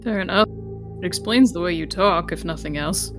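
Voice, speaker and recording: A woman with a slightly low voice plays a tabletop game. She talks casually in a dry, blunt way, with faint music underneath. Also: moderate pace.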